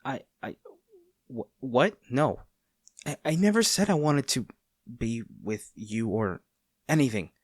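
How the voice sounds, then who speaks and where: A man playing a conflicted heroic captor in a dramatic audio roleplay, earnest and halting, tender toward an old friend turned enemy. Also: medium pitch, animated, dry recording, clean studio sound. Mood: flustered. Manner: earnest, halting, formal wording with dramatic pauses.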